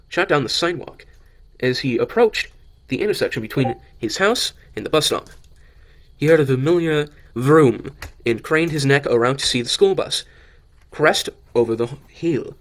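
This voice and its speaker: A man reading a scripted story as narrator, haltingly, often stumbling and rereading phrases. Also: medium pitch, expressive, fairly bright tone. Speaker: narrator of an amateur audio drama.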